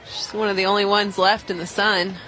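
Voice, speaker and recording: A woman giving conversational color commentary on a live softball radio broadcast from a stadium. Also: mid-range voice, level tone, brisk, poor audio. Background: crowd noise and stadium music.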